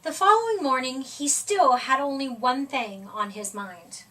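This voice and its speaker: Female storybook reader, high pitch, slow and deliberate with dramatic emphasis, recorded at a distance from the mic. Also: pushed and bright. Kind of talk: expressive read-aloud of a children's picture book.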